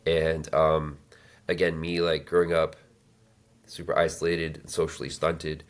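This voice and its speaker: A man in his thirties telling his life story as an interview guest, halting with many fillers and restarts. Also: very deep voice, monotone. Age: 30s. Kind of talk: reflective personal storytelling.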